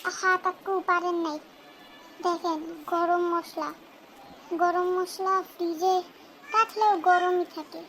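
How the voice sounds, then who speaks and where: Cartoon kid, teasing and chirpy, as someone puts on the role; very high, sharp voice. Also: fairly even intonation, slow pace, long pauses, a little hiss or hum, full character voice, poor audio. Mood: cheeky. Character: cheeky clever young boy quizzing his uncle. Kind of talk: cartoon dubbing.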